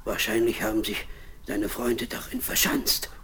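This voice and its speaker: An actor playing a ragged, jittery marooned castaway who stammers and halts, in a 1970s radio play. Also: male voice, lowish voice, huge pitch swings, bright, forceful tone, full character voice. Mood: hushed. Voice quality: stammering, halting. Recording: noisy vinyl record.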